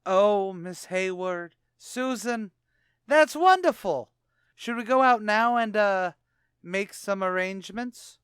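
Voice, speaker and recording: Man acting a high-pitched, blustery tavern bluffer. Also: animated, slow pace, fairly bright tone, smooth, dry recording, clean studio sound, exaggerated voice. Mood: hopeful. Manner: boastful, stammering, ungrammatical. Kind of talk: 1940s radio comedy acting.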